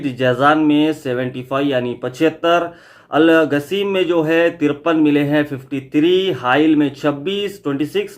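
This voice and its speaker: A man hosting a vlog, giving an informal news update and explaining points with repetition for emphasis. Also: on the high side. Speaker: vlog host.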